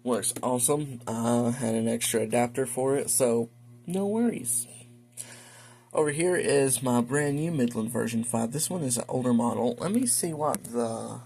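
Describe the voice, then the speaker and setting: A man with a noticeably creaky, gravelly voice hosts a casual hobby video. His delivery is informal and halting, like an unscripted walk through a collection. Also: medium pitch, mellow. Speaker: video host, radio collector. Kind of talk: casual showcase narration.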